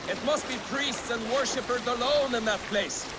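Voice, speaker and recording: Casting: brash Viking warrior, scornful boaster, very high male pitch; game dialogue with river noise. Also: moderate pace. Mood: scornful, boastful. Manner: boastful, scoffing. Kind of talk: video game cutscene acting. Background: water and boat noise.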